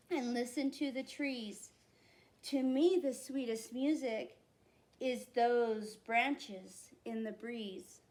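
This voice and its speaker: A woman reading a children's picture book aloud at a library storytime, with expressive, deliberate phrasing for young listeners. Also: sits high.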